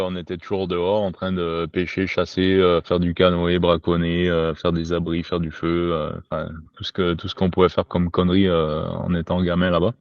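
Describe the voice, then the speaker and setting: Podcast guest: man from Quebec, very low voice, slangy and spontaneous, muffled lo-fi sound. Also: no echo. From Quebec, Canada. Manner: informal, slangy, swears casually. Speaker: podcast guest, survival instructor. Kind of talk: spontaneous casual conversation. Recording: dull lo-fi home recording.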